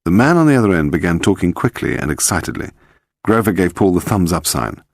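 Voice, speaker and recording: Low-pitched male crime story narrator. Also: big pitch swings, brisk, dry recording, studio quality. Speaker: audiobook narrator.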